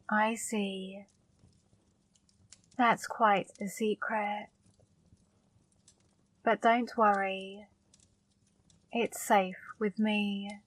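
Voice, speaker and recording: Casting: woman voicing a seductive female demon for ASMR, slow and level delivery, dry close sound. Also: mid-range voice, dry recording. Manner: slow, soft, commanding. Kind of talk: ASMR roleplay.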